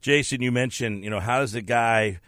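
A man's voice: medium pitch, moderate pace, fairly bright tone, no echo. A man hosting a sports podcast, asking questions in a conversational interviewing style.

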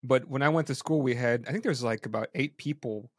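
A man's voice: medium pitch, quick pace, no room sound. Male interviewer, casual and curious, dry close recording. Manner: casual and curious, restarts often. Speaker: interview host. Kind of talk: interview conversation.

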